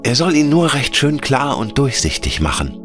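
Man putting on an eager, higher-pitched little girl. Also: sing-song, normal speed, music in the background, close to an everyday voice. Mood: delighted. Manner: eager, naive, lilting questions. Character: curious, delighted, innocent little girl. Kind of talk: fairy-tale audiobook character.

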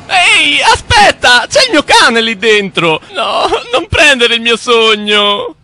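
A man's voice: very high-pitched, very animated, slow, bright, forceful tone, heavily acted. An actor playing an anxious, pleading young cartoon man with a whiny, exclamatory delivery. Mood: desperate pleading. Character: anxious, whiny young cartoon everyman who lost his house. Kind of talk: animated cartoon dialogue.

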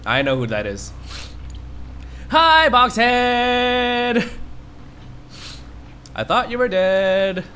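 A man with a stuffed-up nose from a cold rambles through casual gameplay commentary, reacting to the screen as he plays. His pitch jumps around a lot, and a faint hiss sits under the voice. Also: big pitch swings, extremely slow, pauses often, sharp tone. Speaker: let's play host.

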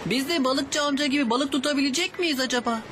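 A woman's voice: high-pitched, fast, close to an everyday voice. A woman voicing a curious, eager young child in a children's cartoon. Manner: eager, questioning. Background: music.

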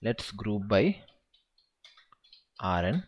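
A man's voice: lowish voice, sing-song, drawn-out words, husky, dull audio. A man tutoring SQL in a screen-recorded walkthrough, thinking aloud and counting through each step.

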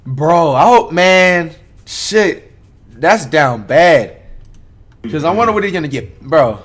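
A man's voice: on the high side, animated, slow, a bit bright. A young man streaming from his room, rambling casually about a video and saying bro constantly. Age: young adult. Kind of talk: casual live-stream reaction. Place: bedroom streaming setup.

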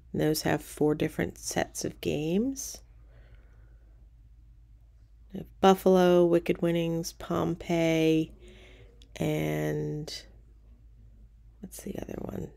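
A middle-aged woman with a low, gravelly voice narrates at an unhurried pace. She talks casually and relaxed, pointing things out as she walks through a casino, recorded on a handheld camera. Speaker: casino tour vlogger. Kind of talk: casual walking tour narration. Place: cruise ship casino, indoors.

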